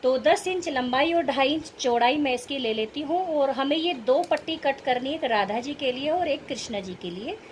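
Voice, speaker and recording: A woman's voice: high voice, expressive, normal speed, hardly any pauses, a little hiss or hum. A woman with a sore throat, explaining craft steps in a casual, instructional tutorial style. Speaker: host of a craft tutorial channel. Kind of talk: casual step-by-step sewing tutorial narration.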